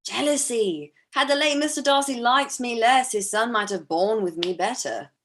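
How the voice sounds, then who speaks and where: A woman playing a charming, aggrieved young gentleman in a staged period drama reading, confiding and theatrical. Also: fairly high pitch, moderate pace, lightly acted. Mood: wistful, bitter. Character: Mister Wickham, charming aggrieved young gentleman, smoothly self-pitying.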